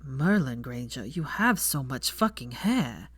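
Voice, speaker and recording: A woman's voice: a bass voice, very animated, unhurried, breathy edge, no room sound, voice pushed down, exaggerated voice. A woman voicing a dry, teasing young wizard man with a mocking, sweary drawl, in a story reading.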